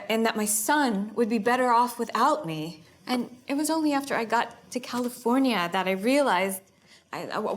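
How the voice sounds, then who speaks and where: A woman playing a nervous student who performs a dramatic monologue as an unhappy estranged mother, hesitant and halting. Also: on the high side, lightly acted. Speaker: acting student. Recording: clear background room noise.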